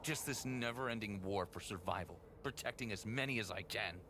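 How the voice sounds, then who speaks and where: A man's voice: on the low side, fairly quick, sharp tone, a little hiss or hum. A man acting a weary, self-doubting hero in a fan-made science fantasy audio drama. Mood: bitter, anguished. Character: weary, self-doubting heroic young male Titan guardian.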